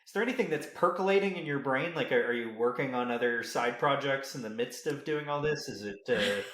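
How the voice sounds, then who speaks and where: A man's voice: mid-range voice, a little fast, fairly bright tone. A man hosting a casual interview, encouraging his guest and phrasing his questions in a loose, tentative way. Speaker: podcast interviewer. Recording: roomy, echoey sound.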